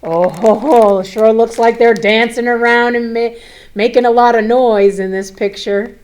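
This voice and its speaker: A woman reading a picture book aloud to children, expressive, with chatty asides, questions and simple explanations. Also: fairly high pitch, unhurried, poor audio.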